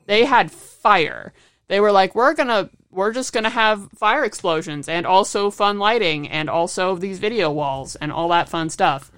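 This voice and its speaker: A woman's voice: medium pitch, measured pace, a bit bright, clear, resonant tone. A woman co-hosting a casual podcast chat, telling stories and acting out what people said. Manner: chatty storyteller who acts out quoted remarks. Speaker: podcast co-host.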